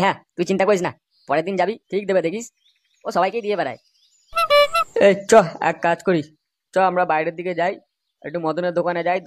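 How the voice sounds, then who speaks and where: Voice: mid-range voice, no room sound, close to an everyday voice. Chummy, easygoing young loafer acted out by a performer. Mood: coaxing. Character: easygoing, crude young men loafing at club. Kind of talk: comedy cartoon dub.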